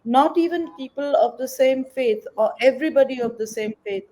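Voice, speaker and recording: A middle-aged or older woman answering interview questions as an activist, measured, articulate and explanatory. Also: high-pitched, warm tone. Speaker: environmental activist, interview guest.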